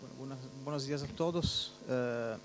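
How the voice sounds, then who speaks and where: A man with an Italian accent presents his research group's work at a conference. He talks haltingly and keeps stopping to find the right word. His voice sits at a middle pitch, with a light hiss in the background. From Italy. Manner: halting, searching for words, mixing in Portuguese words.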